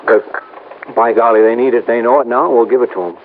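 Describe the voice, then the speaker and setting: An actor playing an earnest, folksy small-town insurance agent with a hesitant, homespun way of talking in a 1950s radio drama. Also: male voice, medium pitch, fairly even intonation, fairly quick, lightly acted. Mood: uneasy, sincere. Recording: noisy, narrow-band old radio recording.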